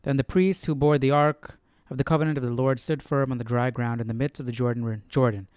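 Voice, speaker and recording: A man hosting a casual Bible study stream, reading aloud and thinking out loud. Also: mid-range voice, fairly even intonation, brisk, smooth, no echo, studio quality. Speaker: Bible study livestream host.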